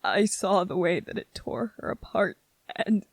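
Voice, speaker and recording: Someone acting a gentle young soldier guy grieving, asmr roleplay, real slow and halting, choked up, dry close sound. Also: mid-range voice, expressive, gravelly, no room sound. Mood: grieving, aching. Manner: halting, emotional, earnest, short broken phrases. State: emotional, close to tears. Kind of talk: ASMR roleplay, intimate emotional monologue to listener.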